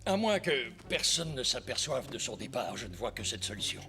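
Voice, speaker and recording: A menacing priest eaten up by obsession, in a theatrical cartoon style. His mid-pitched voice moves widely between coaxing and threat. It rings in a long hall reverb over clear music. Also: sing-song, moderate pace, pushed and bright. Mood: scheming. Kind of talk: dramatic French cartoon dub acting.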